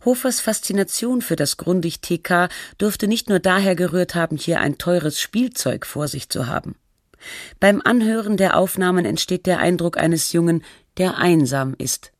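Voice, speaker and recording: A performer playing a sober radio documentary narrator, reading a scripted feature in a measured, factual, reflective way. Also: mid-range voice, no room sound, clean studio sound, close to an everyday voice. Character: sober documentary narrator telling an artist's life.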